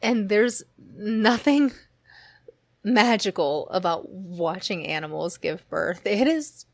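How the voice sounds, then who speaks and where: A woman's voice: medium pitch, animated, slow pace, gravelly, no room sound. A woman from Brazil giving casual, amused commentary while reacting to an old dating show. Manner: chatty, amused, laughs often. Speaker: reaction video host.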